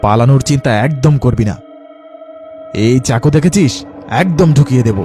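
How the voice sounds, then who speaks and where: A man's voice: mid-range voice, expressive, normal speed, music in the background, lightly acted. A man playing a broke, hungry, brooding street mugger in a cartoon story. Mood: menacing. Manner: grumbling, brooding. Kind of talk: Bengali cartoon voice acting.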